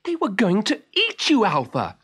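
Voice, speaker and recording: Man putting on a high, urgent young girl. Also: huge pitch swings, moderate pace, fairly bright tone, slightly rough, exaggerated voice. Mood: relieved. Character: brave anxious young girl space adventurer.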